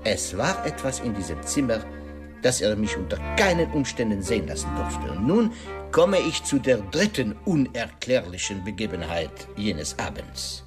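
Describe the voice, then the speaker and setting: An actor playing a shrewd, self-assured detective in a radio drama, laying out a case with rhetorical questions and emphatic pauses. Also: male voice, fairly low pitch, big pitch swings, music in the background. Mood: measured recounting.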